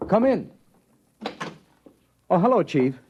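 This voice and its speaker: An actor playing an anguished, guarded father in a 1950s radio drama, speaking hesitantly and haltingly. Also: sits high, very animated, noisy background, full character voice. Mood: distracted, uneasy. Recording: dull vintage radio recording with music.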